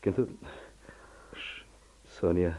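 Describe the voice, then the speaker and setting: A man playing an authoritative yet caring senior office boss in a radio drama, with a measured, persuasive way of talking. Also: dark tone, close to an everyday voice. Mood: pleading. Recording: dull lo-fi radio drama recording.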